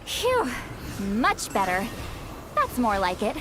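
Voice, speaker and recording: Huffy, high-pitched bookish game heroine. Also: female voice, wildly swooping pitch, slow pace, background noise, full character voice. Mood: haughty. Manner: huffy, dramatic, opinionated. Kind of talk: video game character acting. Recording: background game music.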